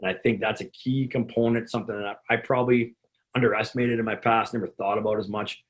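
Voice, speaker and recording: Gravelly-voiced man coaching, lowish pitch. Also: fairly even intonation, fast. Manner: rambling, repeats words, many restarts. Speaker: hockey conditioning coach. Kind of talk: instructional talk to coaches.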